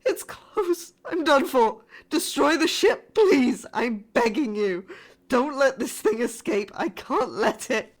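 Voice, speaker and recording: A woman playing a frightened, pleading yet defiant spaceship crew member in a horror sci-fi audio drama, with halting, emotional delivery. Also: high voice, animated, very noisy. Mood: desperate.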